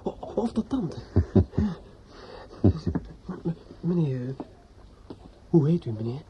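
A man's voice: fairly high pitch, big pitch swings, takes it slowly. An actor playing a drunk, bitter, grieving judge's son in a radio play, stammering and repeating himself as he broods. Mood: drunken anguish. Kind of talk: radio drama acting with inner monologue. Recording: muffled, noisy old radio play recording.

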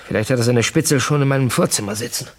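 An actor playing an uneasy official in a radio crime drama, reporting in a measured, confidential way. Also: male voice, medium pitch, close to an everyday voice. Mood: worried.

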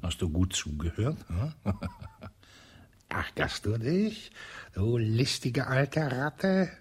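An actor playing a sly colonel under police questioning in a radio play, with a husky voice, evasive and dryly sarcastic, often dropping to a whisper. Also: male voice, low voice, exaggerated voice. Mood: gloating. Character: sly elderly male colonel, suspected wife-killer.

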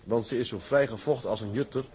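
A man acts out a proud, shrewd sea captain in a period drama. He speaks in a mid-pitched voice, firm and businesslike. He sounds like someone determined to get his own ship and used to pressing his case. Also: fast talker, dark tone, lightly acted. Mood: reading aloud. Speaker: actor playing a sea captain. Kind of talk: acted period drama dialogue.

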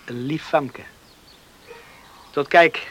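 A young man who longs to be free, in an old-style radio play. His voice is high and forceful, the delivery slow and sometimes halting. Faint noise sits behind it. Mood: fond. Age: young adult. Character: restless young man craving freedom, talks to his dog. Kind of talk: Dutch radio play acting.

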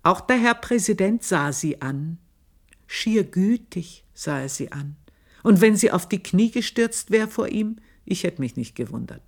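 Brief: low-voiced bitter old maid, slow and confiding, lively melody. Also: female voice. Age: older. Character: old female housemaid, bitter and proud. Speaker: housemaid telling her life story. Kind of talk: radio play monologue.

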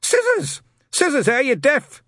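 Man doing a gruff, barking old sergeant. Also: big pitch swings, a little slow, a bit bright, dry recording, studio quality, full character voice. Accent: shifting British regional. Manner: rumbling, barking, testy. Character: gruff, testy older man, a shouting drill-sergeant type.

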